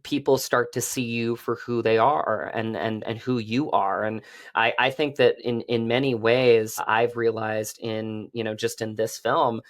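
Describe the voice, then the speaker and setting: A man's voice: medium pitch, little pitch movement, a little slow. A man talking earnestly and effusively in a conversational interview, as an actor who is the guest.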